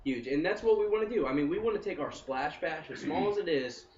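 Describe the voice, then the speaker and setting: A man's voice: a little high, animated, a little fast, darkish tone, slight background noise. A man in his early twenties, a company co-founder, talking in a rambling, upbeat way in a casual interview, with many "I mean" fillers. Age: 20s. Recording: roomy, distant and dull sound.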